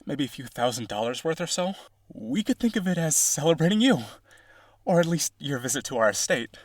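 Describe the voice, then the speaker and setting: A man's voice: on the high side, big pitch swings, brisk. A man playing a lonely, boastful vampire aristocrat in an audio roleplay, genteel, dramatic and insistent in his possessive wooing. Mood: coaxing, boastful. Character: lonely, boastful rich vampire brother, possessive yandere suitor.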